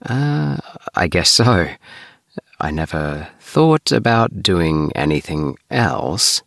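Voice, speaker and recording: A man voicing a guarded, thoughtful young spaceman in a storybook, speaking haltingly and carefully. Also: sits low, big pitch swings, creaky, a bit bright, no echo, studio quality, full character voice. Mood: hesitant.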